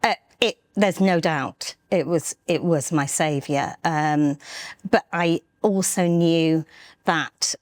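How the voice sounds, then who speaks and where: A middle-aged woman from North Wales, an estate agency director, telling her life story as an interview guest in an earnest, halting way with many restarts. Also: deep voice, dry recording. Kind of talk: candid personal storytelling.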